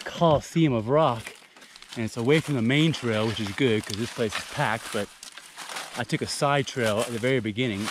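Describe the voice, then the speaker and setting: A man talking casually to himself in short, exclamatory bursts as he hikes and films outdoors. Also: mid-range voice. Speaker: hiking vlogger. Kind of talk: casual off-the-cuff trail narration. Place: outdoors on foot in a rocky wooded canyon. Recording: outdoor recording with clear handling and nature noise.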